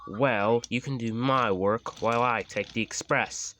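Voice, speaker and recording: A man's voice: mid-range voice, unhurried, sharp tone, a little hiss or hum. A man voicing a boastful, cheerful young male fire engine in a storybook. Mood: cheerful boasting. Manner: cheerful, overconfident.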